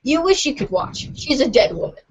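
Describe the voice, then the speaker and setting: A woman's voice: high voice, sing-song, brisk, slightly rough. A woman reading webcomic narration aloud in an informal, giggly way for a fan podcast. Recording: dull lo-fi voice recording.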